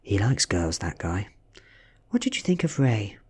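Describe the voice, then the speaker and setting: Man voicing a soft, breathy teenage schoolgirl. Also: fairly low pitch, sing-song, measured pace, heavily acted. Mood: probing. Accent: British. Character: probing, slightly jealous teenage schoolgirl.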